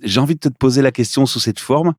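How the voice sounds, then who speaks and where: A man's voice: mid-range voice, fast, no room sound, studio quality. A man hosting a podcast, guiding the interview with friendly questions. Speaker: podcast host.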